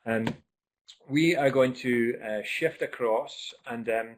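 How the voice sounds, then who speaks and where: A man's voice: mid-range voice, slow pace, poor audio. Chatty Scottish man hosting a webinar. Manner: chatty and upbeat, with frequent ums and uhs. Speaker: webinar host.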